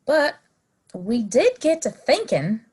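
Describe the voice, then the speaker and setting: A woman playing a friendly, bold frontier townswoman in a western audio play, slightly husky and chatty. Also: fairly high pitch, big pitch swings, takes it slowly, darkish tone, full character voice. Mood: warm, earnest.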